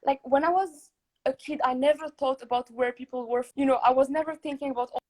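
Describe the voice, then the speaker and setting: Woman, young, from Morocco, high voice, podcast host, chatty, laughs often, video call. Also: fairly even intonation, fast, darkish tone. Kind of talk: casual interview chat.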